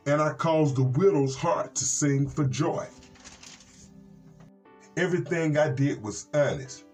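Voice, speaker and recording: An American man, a reverend hosting a Bible program, reads scripture and commentary in a measured, earnest way with folksy asides. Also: medium pitch, darkish tone. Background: plucked guitar music.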